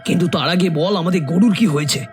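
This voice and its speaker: A man's voice: sits high, a little fast, darkish tone, soft background music, lightly acted. A man voicing a practical, dismissive middle-aged village father in a horror cartoon.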